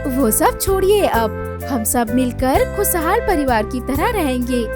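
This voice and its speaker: Someone doing a timid young girl bird in a cartoon, very high, pleading and polite, slow, music under it. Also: lively intonation. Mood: gentle, forgiving. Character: meek tearful young orphan daughter-in-law bird, female, forgiving.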